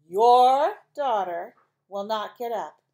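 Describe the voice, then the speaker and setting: A woman doing a stern, loud storybook father. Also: brisk. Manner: booming, emphatic commands.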